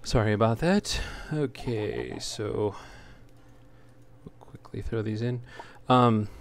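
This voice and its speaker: A man's voice: fairly low pitch, darkish tone. A man giving casual, unscripted gameplay commentary as a let's play host, talking in an easygoing, hesitant ramble. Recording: clearly noisy home recording.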